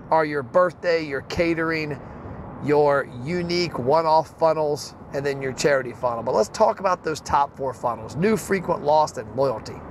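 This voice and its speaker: A man's voice: fairly high pitch, slight background noise. Fired-up man talking in a car. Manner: blunt, salty, fired-up. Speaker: podcast host. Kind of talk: casual solo podcast rant.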